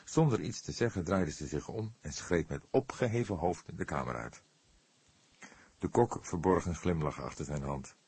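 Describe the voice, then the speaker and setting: Male storyteller for crime audiobook: very low, lively intonation, dry close sound. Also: husky, no room sound. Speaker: audiobook narrator. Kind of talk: scripted story narration.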